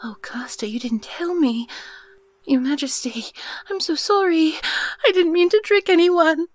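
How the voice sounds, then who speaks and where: A woman's voice: extremely high pitch, a little slow, dry recording. A woman voicing a small, naive fairy girl in an audio roleplay, flustered, stammering and pleading. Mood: awed, apologetic.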